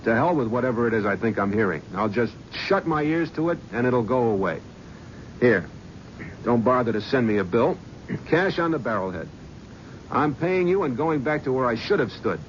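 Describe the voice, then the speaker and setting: An actor playing a tough middle-aged talent agent, blunt and dismissive. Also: male voice, medium pitch, expressive, moderate pace, slight background noise, lightly acted. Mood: defiant. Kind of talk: 1970s radio drama acting. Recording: dull vintage radio broadcast.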